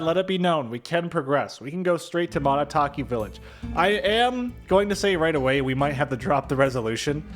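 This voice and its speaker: A man's voice: on the high side, a bit bright. A man hosting a let's play with casual, rambling commentary and jokey exaggeration.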